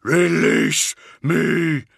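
Thunderous ancient demon, drawn out, raised pitch. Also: male voice, gravelly, dry recording, clean studio sound, full character voice. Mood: furious demand. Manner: slow, drawn-out commands. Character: vast ancient male leviathan demon, furious and imperious.

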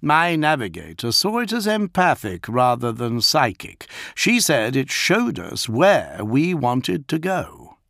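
A man's voice: medium pitch, wildly swooping pitch, takes it slowly, creaky, few pauses, fairly bright tone, dry recording, studio quality, voice pushed up, exaggerated voice. A man voicing a proud, suspicious male warrior lord who speaks curtly and commandingly. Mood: stern. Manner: curt, commanding.

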